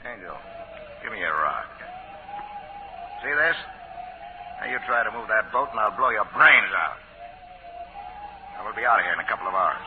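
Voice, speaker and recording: Swaggering gangster boss, old radio. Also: male voice, on the low side, animated, moderate pace, long pauses, faint music behind. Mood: menacing. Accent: American. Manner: bullying, boastful, slangy tough talk. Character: swaggering, menacing gangster boss, proud and vengeful. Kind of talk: 1940s American radio drama acting. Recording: old lo-fi radio broadcast, faint hiss.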